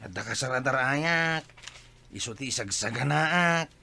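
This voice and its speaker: A man's voice: mid-range voice, lively intonation, unhurried, creaky, pushed and bright, exaggerated voice. A man with an Ilocano accent playing a good-humoured, wheedling village borrower in a comedy drama.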